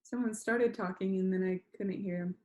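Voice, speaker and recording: A woman's voice: medium pitch, steady tone, moderate pace, warm tone, roomy sound. A woman teacher speaking informally and expressively to an online class, as when reading a story aloud. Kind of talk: classroom read-aloud, first-person storytelling. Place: indoor room, online class call.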